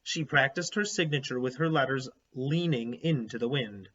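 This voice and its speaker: Husky man reading a children's book aloud. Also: medium pitch, moderate pace, fairly bright tone. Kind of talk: animated read-aloud with explanatory asides. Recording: slightly dull, lo-fi sound.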